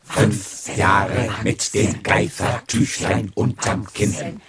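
A man's voice: fairly low pitch, little pitch movement, extremely slow, fairly bright tone, slight background noise, heavily acted. A man playing a stern, relentless voice of schooling, chanting in an incantatory way in a stylised radio play. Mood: drilling. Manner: incantatory, repetitive, drilling.

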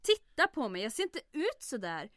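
Radio comedy role: witty young woman, swooping intonation, slightly high, dry studio sound. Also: huge pitch swings, no room sound, full character voice. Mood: indignant. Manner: dry, mock-polite, sharp-tongued when offended. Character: sardonic, quick-witted young woman flattering a commandant. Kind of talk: old-time radio comedy acting.

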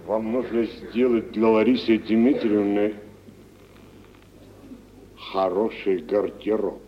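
Slow-spoken, self-assured rich merchant. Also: male voice, on the low side. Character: wealthy middle-aged merchant, blunt, self-assured, proposing patronage. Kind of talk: 1940s Russian stage acting for radio. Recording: dull, noisy vintage radio play recording.

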